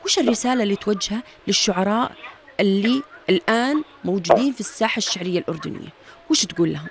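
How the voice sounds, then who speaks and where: A woman with a Jordanian accent hosting a radio interview, filling pauses with long drawn-out sounds and regularly affirming her guest. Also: mid-range voice, lively intonation, slight background noise. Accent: Jordanian Arabic. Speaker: radio host.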